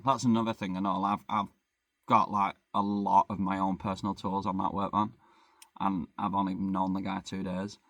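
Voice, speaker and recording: A man's voice: low voice, a bit bright, dry recording. A young man, rambling in a casual van-life vlog, swearing freely and joking about himself. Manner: rambling, swears freely, self-deprecating jokes. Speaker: vlogger. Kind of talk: casual chat. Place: living in a van.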